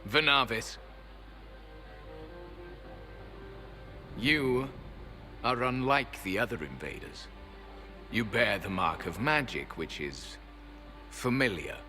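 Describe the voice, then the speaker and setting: An actor playing a solemn, proud ancient elf sentinel in a fantasy video game, speaking in a grave, formal and disdainful manner. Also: male voice, mid-range voice, expressive, a little slow, pushed and bright, soft background music. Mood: solemn, wary.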